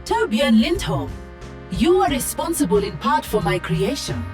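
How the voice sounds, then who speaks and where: A woman's voice: sits high, very animated, normal speed, faint music behind. A woman voicing an earnest, polite female guardian robot in a video game, speaking formally and precisely through a robotic filter. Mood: admiring, earnest.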